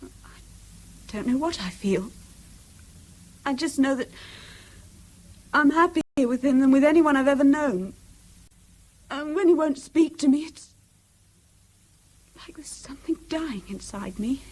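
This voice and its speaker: A woman's voice: high-pitched, expressive, unhurried, long pauses, darkish tone, poor audio. An actress playing an earnest, troubled English schoolgirl in a British radio drama, hesitant, polite and confiding. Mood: heartfelt, hurt. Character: earnest, troubled teenage schoolgirl, loyal and anxious.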